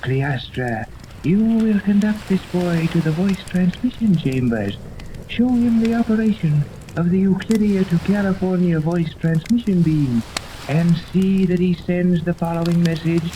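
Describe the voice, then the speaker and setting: A man's voice: high voice, unhurried, mellow. A man playing a haughty, tyrannical mad scientist with a pompous, verbose, imperious manner in 1930s radio serial style. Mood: commanding. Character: haughty, tyrannical male mad scientist commanding a secret island. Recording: noisy 1930s radio recording under rain ambience.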